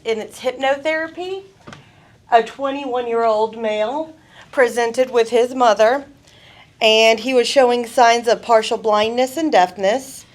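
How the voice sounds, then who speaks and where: A woman giving a college presentation, telling a story in a lively, chatty way. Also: on the high side. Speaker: student presenter. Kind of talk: class presentation. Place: college event room.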